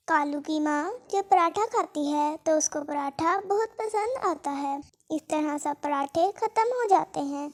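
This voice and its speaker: Very high-pitched woman narrating. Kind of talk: scripted children's story narration.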